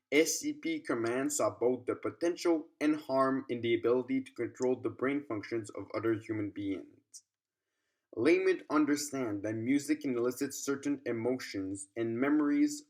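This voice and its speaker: A man with a medium-pitched voice reads a scripted report. His delivery is measured and formal, in the style of a clinical log, and he is prone to stumbling and rereading a phrase. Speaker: narrator reading a document.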